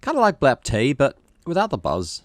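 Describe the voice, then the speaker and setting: A man's voice: mid-range voice, huge pitch swings, normal speed, dry recording, pitched above the performer's own voice. A man voicing a jovial, teasing middle-aged dad from a story video game. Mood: playful, cheery. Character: playful, easygoing middle-aged dad, a colonist farmer. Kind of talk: reading game dialogue in character.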